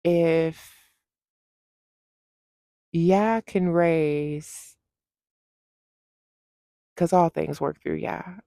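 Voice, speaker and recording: A woman speaks slowly and sincerely, as if sharing personal testimony on a vlog. Her lower-pitched voice often settles into gravelly fry. She offers heartfelt, faith-filled encouragement in a close, dry sound. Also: steady tone, dark tone, no echo. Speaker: personal vlogger. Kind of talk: personal testimony, talking to camera.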